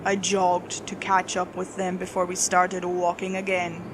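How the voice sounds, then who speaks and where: Slow-paced woman narrator, noisy recording. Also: on the low side, completely flat delivery. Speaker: horror story narrator. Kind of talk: scripted creepypasta narration.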